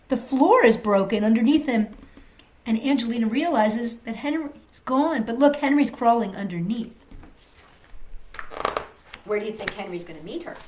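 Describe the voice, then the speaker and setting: Woman storytime host, very lively melodic delivery, talks straight to little kids, sympathetic and coaxing; echoey room. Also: a little high. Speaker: storytime host reading a picture book. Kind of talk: expressive children's storytime read-aloud with asides to young viewers. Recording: echoey room, faint background noise.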